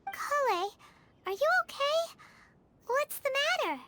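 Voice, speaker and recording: A woman voicing a tiny, excitable childlike fairy companion in an anime video game, squeaky and exclamatory, speaking of herself in the third person. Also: takes it slowly, full character voice. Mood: curious, concerned. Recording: music playing behind.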